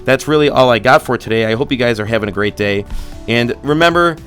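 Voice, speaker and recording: Casting: congested young guy, mid-pitched, rambling stock-channel host who trips over his words. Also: fairly bright tone, faint music behind. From Buffalo, New York area. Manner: rambling, restarts often, reads quotes haltingly. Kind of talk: casual investing update.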